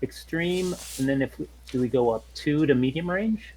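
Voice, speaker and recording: A man's voice: a little high, moderate pace, darkish tone, slight background noise, poor audio. A man talking casually as a player in a tabletop role-playing game. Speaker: player, sensor operator.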